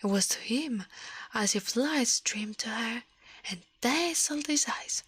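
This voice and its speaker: A woman whispers a storybook tale in ASMR style. Her voice is airy and husky, fairly high for a woman, with a gentle, slightly halting delivery. The sound is close and dry, with hardly any room in it. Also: lively intonation, no echo. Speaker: ASMR livestreamer reading aloud. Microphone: close microphone, dry sound.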